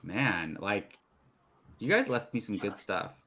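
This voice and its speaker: A man's voice: lowish voice, sing-song, slow, bright, forceful tone. A man talking casually and informally as a panelist on a group chat show.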